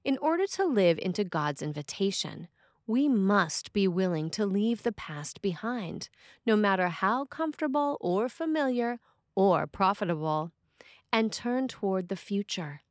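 A woman priest reading a scripted sermon in a measured, expressive way. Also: mid-range voice, dry recording, studio quality.

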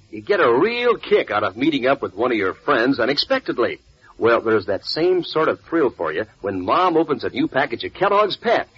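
Folksy, swooping vintage radio pitchman. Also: fairly bright tone, husky. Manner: folksy, eager, addressing children. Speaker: commercial pitchman. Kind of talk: 1940s radio advertising. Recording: muffled vintage radio, faint music.

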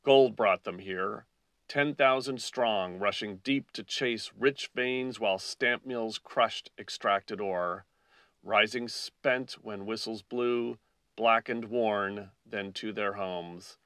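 A man's voice: on the low side, slow, fairly bright tone, clean tone, no echo, studio quality, recorded from a distance. A man hosting a poetry podcast, reading verse aloud, recorded a little away from the mic. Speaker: podcast host and poet.

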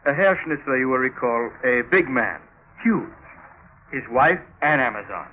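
A man's voice: medium pitch, animated, unhurried, pushed and bright. An actor playing a grandiloquent policeman in old-time radio comedy-drama, with a declamatory, portentous delivery. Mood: brisk, official. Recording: noisy old radio recording.

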